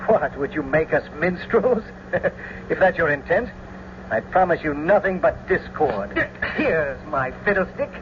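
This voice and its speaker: A mocking, quarrelsome young swordsman in an old radio Shakespeare play. The delivery is theatrical and unhurried, pitched high, with noisy, boxy sound. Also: animated, highs cut off. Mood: mocking, defiant. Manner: theatrical, taunting. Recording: noisy, band-limited old radio recording.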